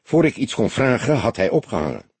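A man's voice: mid-range voice, sing-song, brisk, a little mellow, slightly rough, no echo, full character voice. A man playing a nervous police desk sergeant in an audiobook, hesitant and prone to stammering. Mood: uneasy.

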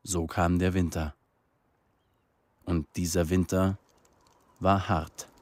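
Deep, slow male narrator, dry studio. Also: little pitch movement, slight background noise. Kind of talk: literary radio drama narration.

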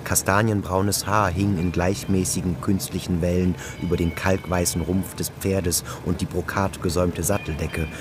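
Radio play narrator: male, deep voice, literary prose, set over music. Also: level tone, moderate pace, few pauses.

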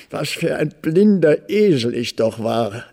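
Slow, creaky old manservant, echoing hall. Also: male voice, fairly high pitch, sing-song, dark tone, exaggerated voice. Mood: joyful, teasing. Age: older. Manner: effusive, pleading, exclaiming. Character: devoted old male servant, humble, emotional, doting. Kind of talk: German radio play acting. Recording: long reverberant hall.

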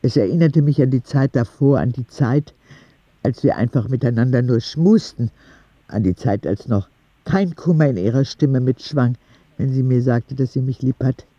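A man narrating a radio drama in the first person as a cheeky boy, soft and husky. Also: medium pitch, moderate pace, no room sound, dull audio, close to an everyday voice. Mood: wistful. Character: cheeky ten-year-old boy narrating his own story.

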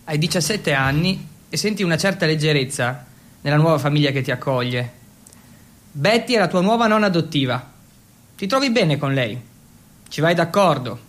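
A man's voice: on the high side, lively intonation, moderate pace, a bit bright. A male stage actor delivers a dramatic monologue in the role of a teenage exchange student. His telling is theatrical and direct to the listener, dwelling on each item in his lists with relish. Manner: theatrical, listing things with relish. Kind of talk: dramatic monologue in the second person.

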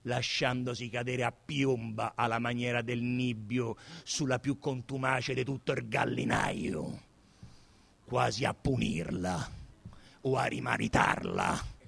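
A man with a Roman accent, an actor giving an intense, theatrical reading of a literary novel, swinging between hushed murmurs and loud declamation. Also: mid-range voice, gravelly.

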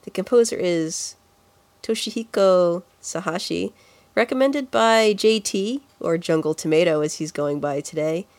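Congested, low-voiced woman podcast co-host. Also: a little slow, darkish tone, dry recording. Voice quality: congested, nasal. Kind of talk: casual video game music podcast chat.

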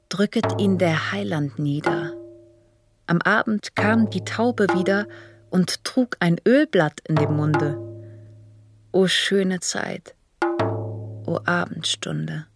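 Slow, breathy mourning soul. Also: female voice, fairly low pitch. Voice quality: breathy, often whispering. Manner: solemn, reverent. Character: devout, mourning female soul, tender and pleading. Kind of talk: radio drama poetic recitation. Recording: music behind the voice.

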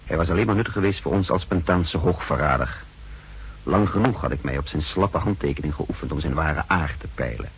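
An actor playing a wary, contemptuous secret agent who narrates his mission in a measured, literary way in a 1960s radio drama. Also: a bass voice, slightly rough, heavily acted. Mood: tense, scornful. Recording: noisy 1960s radio recording.

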